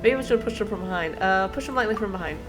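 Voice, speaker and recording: A woman's voice: mid-range voice, animated, fast talker, music in the background. A woman game streamer giving casual, playful, slangy commentary and reacting as she plays. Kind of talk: casual gameplay commentary, reading dialogue aloud.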